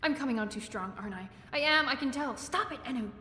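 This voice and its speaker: A woman's voice: high voice, expressive, fast talker, sharp tone. A woman playing an eager, awkward, bookish young woman in a fantasy video game, gushing and rambling as she corrects herself. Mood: apologetic, anxious. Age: young adult. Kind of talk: video game character acting. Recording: echoey hall, faint background noise.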